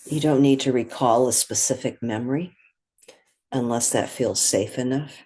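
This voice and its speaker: A meditation guide speaking gently and in a measured way, leaving long pauses between phrases. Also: medium pitch, husky. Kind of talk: guided meditation, soothing spoken instruction.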